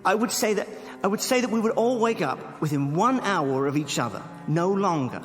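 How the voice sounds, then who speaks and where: An actor playing a pompous, coolly confident criminal professor in a radio drama, speaking with precise, pedantic formality. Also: male voice, high voice, lively intonation, normal speed. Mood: grandly assured. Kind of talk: old-time style radio drama acting. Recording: long hall reverb. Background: faint dramatic music.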